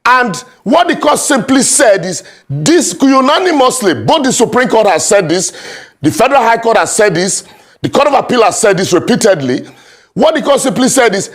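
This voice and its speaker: A man with a Nigerian accent arguing legal points in a heated panel debate, emphatic and repeating himself for stress. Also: high-pitched. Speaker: political panel guest.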